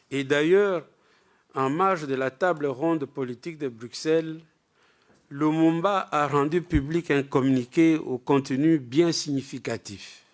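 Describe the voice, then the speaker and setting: A male lecturer with a medium-pitched voice presents a prepared academic text. His delivery is formal and structured. He puts firm emphasis on the words that open his sentences.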